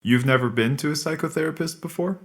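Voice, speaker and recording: A man playing a calm, courteous psychotherapist in an audio drama, talking in a measured, formal, explanatory way. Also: on the high side, creaky. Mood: patient, probing. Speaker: actor in a scripted podcast drama. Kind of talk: naturalistic audio drama acting.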